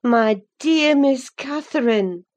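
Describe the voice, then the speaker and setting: A woman's voice: high voice, takes it slowly, a little mellow, pitched above the performer's own voice. A woman voicing a concerned woman servant who chides her young mistress earnestly in an audiobook. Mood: stern questioning. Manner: earnest, sorrowful chiding. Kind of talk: dramatised audiobook character voice.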